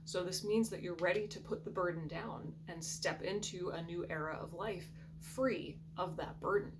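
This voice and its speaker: A woman giving a soft, reflective intuitive tarot reading in a groggy morning voice, often clearing her throat. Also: fairly low pitch, normal speed. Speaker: tarot reader. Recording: steady background hum.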